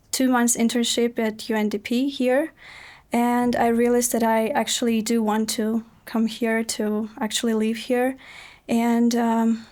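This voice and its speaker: A woman guest who grew up in Russia, telling her story hesitantly, with many pauses. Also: fairly high pitch, darkish tone. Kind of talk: podcast interview.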